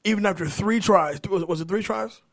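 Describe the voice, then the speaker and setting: A man hosting a casual movie podcast, bantering in an animated, profane way. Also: sits high, fairly quick, creaky. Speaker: podcast host.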